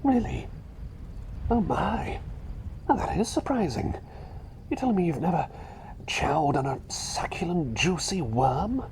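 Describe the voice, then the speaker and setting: A man voicing a kindly, scatterbrained bird doctor in an ASMR roleplay, rambling soothingly between soft talk and breathy whispers. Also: on the high side, big pitch swings, a little slow, long pauses, background noise. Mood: excited, whimsical. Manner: rambling, soothing, whimsical, often dropping to a whisper. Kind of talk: ASMR roleplay, personal attention.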